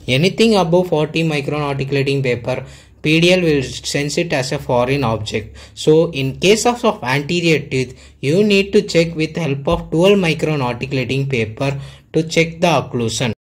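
Man, instructional voice-over, earnest, step-by-step, mid pitch. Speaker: dentist teaching clinical technique.

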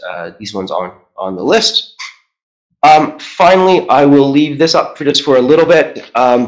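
A middle-aged man giving a webinar presentation as a trade commissioner, measured, with many "uh" fillers and restarts, on a video call. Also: medium pitch, rough recording. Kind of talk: webinar presentation over slides.

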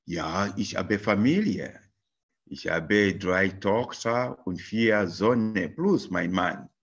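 A man voicing a 65-year-old married German woman, giving slow, halting textbook answers with many fillers. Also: low voice, creaky, husky, muffled recording, exaggerated voice. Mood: answering politely.

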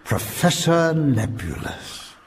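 An actor playing a villainous mad-scientist doctor in a sci-fi comedy, sardonic and condescending, with precise delivery. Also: male voice, fairly high pitch, big pitch swings, takes it slowly, long reverb tail, slight background noise. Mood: smug, sinister.

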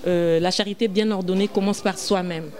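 A woman from Congo in her thirties, a gospel singer answering interview questions earnestly with frequent pauses and fillers, speaking into a handheld mic on a small indoor set. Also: medium pitch, fairly even intonation, a little mellow. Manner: earnest, many fillers and restarts. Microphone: handheld mic held by interviewer.

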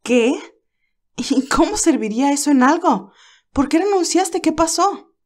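A woman voicing a cheerful but anxious young nurse who talks in a lively, hesitant way. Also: high voice, unhurried, voice pushed up. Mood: alarmed.

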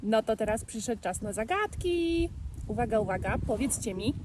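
A woman's voice: on the high side, lively intonation, normal speed. A woman hosting a quiz for children, asking playful questions, with a little background noise.

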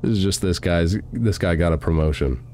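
A man's voice: deep voice, brisk, mellow, a little hiss or hum. A young man with a stuffy nose from a cold, chatting casually as he plays a game and reads its text aloud at a desk mic at home. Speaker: gaming streamer. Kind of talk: casual let's-play commentary.

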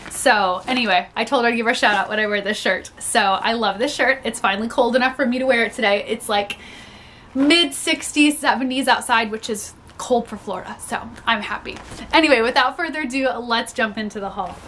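A woman's voice: a little high, brisk, a bit bright, slight background noise. A young woman, a little congested from a cold, chatting casually and upbeat as she shows off a thrift haul at home. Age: young adult. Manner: chatty, upbeat, frequent "like" and laughs. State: slightly congested. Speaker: reseller vlogger. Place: small home room with storage bins, indoors.

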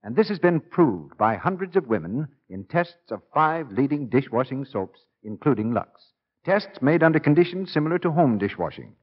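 A man's voice: mid-range voice, big pitch swings, moderate pace. A man with an American accent working as a radio announcer, in 1940s announcing and advertising style. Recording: muffled 1940s radio broadcast.

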